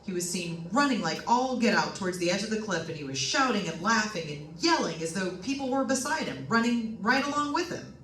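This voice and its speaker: A woman's voice: medium pitch, lively intonation, brisk, hardly any pauses, fairly bright tone, natural voice. A woman playing a plain-talking, skeptical male real estate agent in old-time radio drama style, telling things in a folksy, matter-of-fact way. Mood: grave, matter-of-fact. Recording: echoey room, distant mic, faint noise.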